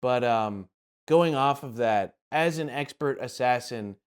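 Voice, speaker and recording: A man's voice: medium pitch, unhurried, clear, resonant tone. A man hosting a podcast, casually putting joking questions to a guest. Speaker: podcast host interviewing.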